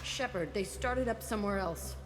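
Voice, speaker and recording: An actress playing a tough, bitter young ex-prisoner in a video game, blunt and hard-edged. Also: female voice, medium pitch, moderate pace, big-room sound, a little hiss or hum, lightly acted. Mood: bitter, guarded.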